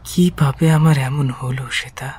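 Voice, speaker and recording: An actor playing a despondent, ailing husband in a radio drama, plaintive in manner. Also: male voice, medium pitch, fairly even intonation, unhurried, a little mellow, slightly rough. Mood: dejected.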